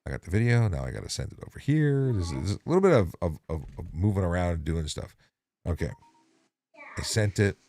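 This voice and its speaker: A middle-aged man hosting a casual traffic-policing livestream, talking in halting bursts with frequent restarts. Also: low voice, sing-song, warm tone. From Ontario.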